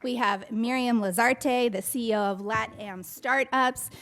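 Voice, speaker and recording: A woman's voice: fairly high pitch, a little slow, fairly bright tone. A woman hosting a conference, introducing the panel in an upbeat, formal way. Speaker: event host. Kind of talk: stage introductions. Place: conference stage.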